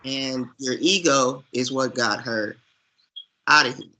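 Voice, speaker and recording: A man with a forceful, bright voice at a middle pitch talks through a film as a co-host. He takes his time, sounding earnest and opinionated, and leans on his points with emphasis. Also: steady tone, takes it slowly. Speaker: podcast co-host discussing films. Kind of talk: conversational film commentary.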